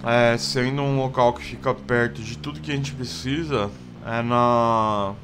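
Slow rambling Brazilian man gaming. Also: medium pitch, a little hiss or hum. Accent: Brazilian Portuguese. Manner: relaxed rambling with many fillers. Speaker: gameplay video host. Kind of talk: casual let's play commentary.

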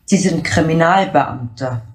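A woman's voice: sits low, measured pace, breathy edge, full character voice. An actress playing a subdued young woman, a grieving daughter who answers hesitantly in a naturalistic crime drama. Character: subdued, hesitant grown daughter of a dead drinker.

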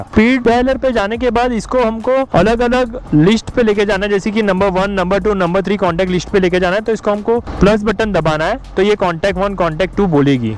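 A man's voice: sits high, a little hiss or hum, poor audio. A man in his thirties with an Indian accent giving a vlog tutorial, explaining step by step with English terms mixed in. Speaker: motovlogger. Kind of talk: tutorial vlog to camera and voice-over. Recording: music behind the voice. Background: background music.

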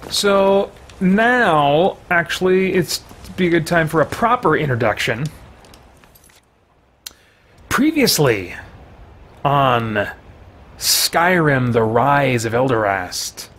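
A man's voice: fairly high pitch, animated, takes it slowly, long pauses, fairly bright tone. A middle-aged man giving casual let's play commentary as a gaming host. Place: indoor room with bookshelves. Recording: faint noise, game ambience behind.

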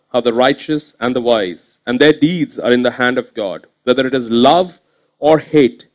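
A man in his thirties preaching a sermon on a church stage into a headset mic, earnest and swinging from quiet asides to emphatic points. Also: on the high side. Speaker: pastor. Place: church stage with curtain backdrop.

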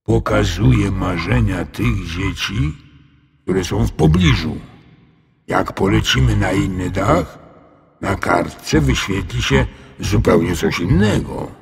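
A man voicing a calm, kindly, absent-minded dragon in a children's storybook, muttering and drawing out his words. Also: low-pitched, gravelly, breathy edge, big-room sound, full character voice. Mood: calm, explaining. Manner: unhurried, drawn-out, muttering to himself.

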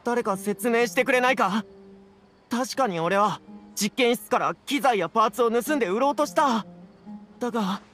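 A man's voice: extremely high pitch, moderate pace, fairly bright tone, soft background music. A man playing a nervous, flustered scholar in an anime game, with a pleading manner. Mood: anxious, defensive. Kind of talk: Japanese anime game voice acting.

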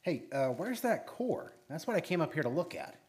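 Radio play support: tired, easygoing geologist, higher-pitched voice with very mobile intonation, casual delivery. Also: male voice, sing-song, moderate pace, darkish tone. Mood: weary, friendly. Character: weary, easygoing male field geologist. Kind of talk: old-time radio drama dialogue.

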